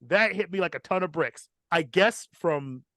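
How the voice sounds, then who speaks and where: A man's voice: high voice, lively intonation, moderate pace, bright, forceful tone, no echo, clean studio sound. A man hosting a podcast, telling a personal story in a casual, profane and emphatic comic style.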